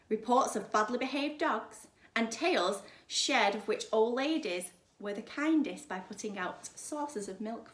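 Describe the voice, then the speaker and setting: A woman reading a children's story aloud like a primary teacher, with dramatic, emphatic delivery. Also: fairly high pitch. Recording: echoey room.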